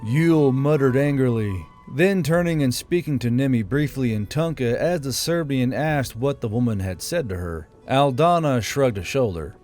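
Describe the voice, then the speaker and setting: Male narrator, scripted fantasy storytelling, medium pitch, music underneath. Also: expressive, normal speed, few pauses, darkish tone. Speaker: audiobook narrator. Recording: music behind the voice.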